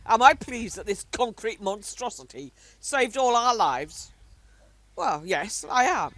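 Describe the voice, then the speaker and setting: A woman's voice: fairly high pitch, sing-song, a little slow, fairly bright tone, no echo, full character voice. A woman playing a prim, nagging English wartime wife and mother in an amateur radio play. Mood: sardonic. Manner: indignant, nagging.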